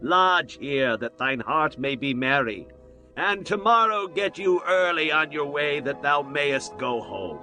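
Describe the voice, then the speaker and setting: A man's voice: on the high side, big pitch swings, a little slow, bright, forceful tone, faint music behind, full character voice, poor audio. An actor playing a genial, insistent biblical father-in-law, entreating in archaic speech in a dramatized scripture reading. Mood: warm entreaty.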